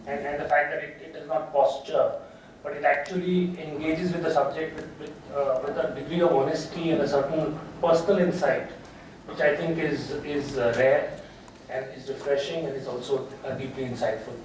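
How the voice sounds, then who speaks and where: Halting, thoughtful man in echoey hall. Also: fairly high pitch, fairly even intonation, a little mellow, slight background noise. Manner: halting, thoughtful, many fillers and restarts. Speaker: panelist. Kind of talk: book launch panel remarks. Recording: echoey hall, far from mic.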